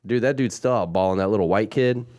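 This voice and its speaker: A man's voice: on the low side, quick pace, darkish tone. Crude, joking male podcast co-host. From immigrant background. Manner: crude joking, laughs often. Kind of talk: casual banter.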